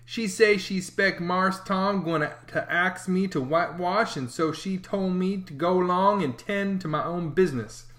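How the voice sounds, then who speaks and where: A timid young boy pleads slowly, frightened of getting in trouble, as a man reading the tale puts him on. The voice sits higher than the reader's own, drawn out and anxious, from a storybook reading. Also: fairly bright tone. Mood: pleading. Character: timid young boy fetching water, fearful of his mistress.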